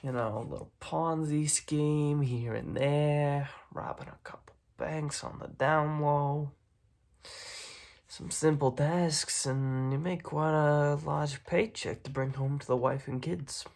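A young man voicing a smug, menacing mob boss in a soft-spoken, half-whispered ASMR roleplay full of gangster slang. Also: a little high, steady tone, takes it slowly, vocal fry. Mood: persuasive. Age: young adult. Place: small dark room indoors.

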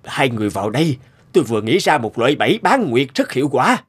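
A man's voice: medium pitch, very animated, quick pace, background noise, natural voice. A man playing an earnest, obsessive middle-aged village farmer and inventor in a cartoon, explaining things earnestly. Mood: eager, absorbed. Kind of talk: cartoon dialogue.

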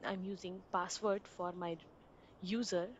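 A woman narrating a screen-recorded software installation walkthrough, giving plain step-by-step instructions over a desktop mic. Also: fairly low pitch, level tone, measured pace, dull audio, slight background noise.

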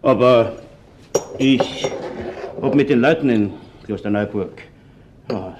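A man's voice: mid-range voice, takes it slowly, background noise, natural voice. A man acting a well-off middle-aged businessman in a radio crime drama, with a Viennese accent, colloquial and somewhat guarded. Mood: guarded, curious. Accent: Viennese Austrian. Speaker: actor.

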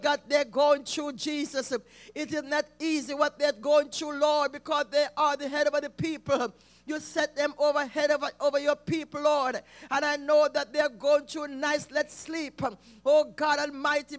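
Very high-voiced fervent man praying. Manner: impassioned, repeatedly calls out to God, often near shouting. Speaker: prayer leader. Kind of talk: fervent intercessory prayer.

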